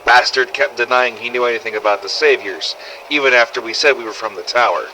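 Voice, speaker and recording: A man's voice: medium pitch, pushed and bright, slight background noise, rough recording. A man playing a brash, foul-mouthed survivor of a zombie apocalypse, telling a scripted audio log in a blunt, sardonic, conversational way. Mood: angry, exasperated. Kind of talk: scripted first-person audio log narration.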